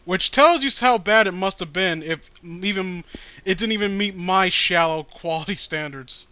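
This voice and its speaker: Bright, high-voiced man riffing on a comic. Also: normal speed, clear, resonant tone, dry recording. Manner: self-deprecating, sarcastic. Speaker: co-host reading a comic aloud. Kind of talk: casual comedic read-along commentary.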